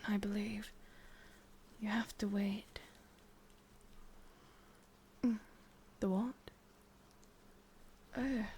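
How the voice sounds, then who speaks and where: Woman playing a husky whispering English witch. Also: medium pitch. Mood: intimate, curious. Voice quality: soft breathy whisper, husky. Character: ancient lovelorn witch, wistful and possessive. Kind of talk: whispered ASMR roleplay.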